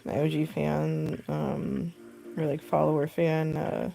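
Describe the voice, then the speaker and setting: Young woman streamer, deep gravelly voice, mellow tone; casual rambling chat into a phone mic while working. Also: flat delivery, slow, rough recording. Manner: rambling, filler-heavy, laughs often. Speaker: costume artist streaming live.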